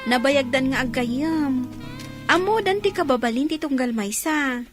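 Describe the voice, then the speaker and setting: A woman's voice: high voice, expressive, a little slow, music in the background. An actress with a Filipino accent playing a giggly, teasing young village girl, the little sister, in a radio comedy drama. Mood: amused, giggly.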